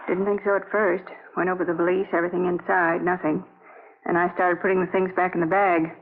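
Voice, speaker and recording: A woman's voice: lowish voice, little pitch movement, fairly quick. An actress in a vintage radio drama playing a capable policewoman with a wry, matter-of-fact manner. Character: dry, capable policewoman reporting a find. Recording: tinny old radio recording, faint noise.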